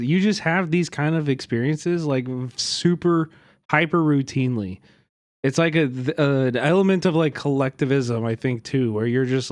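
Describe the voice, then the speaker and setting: A man's voice: medium pitch, no echo. Male streamer, rambling, casual swearing, filler-heavy, dead dry sound. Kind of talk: livestream reaction commentary.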